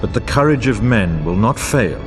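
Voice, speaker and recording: Over a clear bed of music comes a solemn male chronicler of epic wars, played by an actor. His voice sits low and swings widely in pitch. He narrates a fantasy game with grave, grandiose formality. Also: animated, normal speed, lightly acted. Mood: resolute, stirring. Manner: grandiose, portentous, formal delivery. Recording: orchestral music bed under voice.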